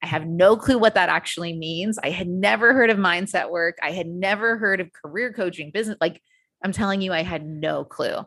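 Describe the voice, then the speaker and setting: An American woman who works as a coach, telling her story as a podcast guest in a casual, energetic way with frequent fillers and the odd swear word. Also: on the low side, a little fast, dry recording. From United States.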